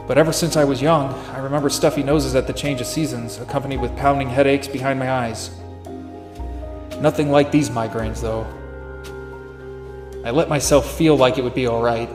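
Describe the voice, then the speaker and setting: Actor as an earnest noir sleuth, roomy sound over music. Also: male voice, mid-range voice, natural voice. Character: earnest, weary young male amateur sleuth, narrating noir. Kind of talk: scripted first-person noir narration and dialogue.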